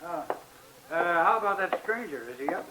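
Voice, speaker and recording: A man's voice: sits high, sing-song, moderate pace, creaky, heavily acted. A middle-aged actor playing an amiable older boatman in an old radio drama, folksy and a bit hesitant. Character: plain, amiable older male sponge diver and boatman. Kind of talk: 1940s-50s radio drama acting. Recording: noisy old radio recording.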